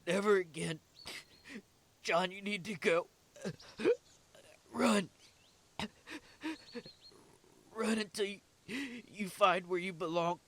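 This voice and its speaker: A dying young prison guard, in an audio drama. His voice is high for a man and gravelly, dragging slowly through short, labored phrases. He sounds broken yet selfless. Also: fairly even intonation, fairly bright tone, full character voice. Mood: urgent, desperate. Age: young adult. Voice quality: weak, strained, raspy.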